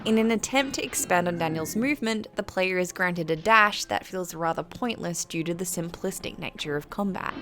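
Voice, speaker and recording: A British woman reading a scripted review as narration, in a measured, articulate and formal way. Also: fairly low pitch, noisy background.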